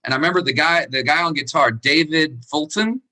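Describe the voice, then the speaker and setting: A man with a Texan accent, a country singer telling stories in a relaxed interview and laughing easily. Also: fairly high pitch, brisk, low-quality recording. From Texas. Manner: laid-back storytelling, laughs easily. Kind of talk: casual interview chat.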